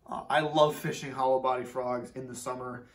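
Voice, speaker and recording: A young man from Minnesota explaining fishing tackle in a casual, relaxed way, with lots of fillers, seated in a garage. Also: medium pitch, moderate pace, far from the mic. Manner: relaxed, many fillers, repeats words for emphasis. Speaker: fishing channel host. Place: garage workshop, seated indoors. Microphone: camera a few feet away.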